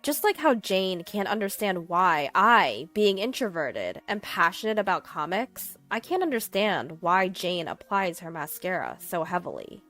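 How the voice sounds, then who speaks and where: A young woman narrating her own story calmly in the first person. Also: fairly low pitch, measured pace, few pauses. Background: faint music.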